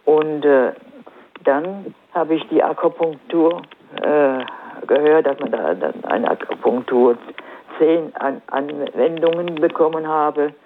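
A woman with a southern German accent calling in to a radio show with a cold, telling her story haltingly with many fillers. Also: deep voice. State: has a cold. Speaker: caller. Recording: crackly phone line.

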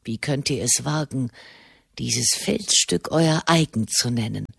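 A woman voicing a gruff, scornful old hermit monk who growls cutting remarks in a storybook reading. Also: very deep voice, measured pace, no room sound, studio quality, voice pushed down, exaggerated voice. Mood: scathing.

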